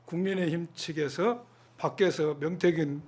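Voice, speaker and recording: A man's voice: fairly high pitch, fairly even intonation, moderate pace, a little mellow, husky. A middle-aged man, a lawmaker guest speaking plainly and repeating his points for emphasis. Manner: plain, repetitive, emphatic, jokes a little. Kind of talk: talk show interview.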